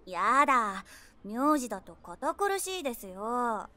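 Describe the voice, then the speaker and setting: A woman's voice: a little high, very animated, slow, pushed and bright, heavily acted. An actress playing a prim, cutesy, polite woman in her twenties on a date in an audio drama. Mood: sweet, coy. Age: 20s.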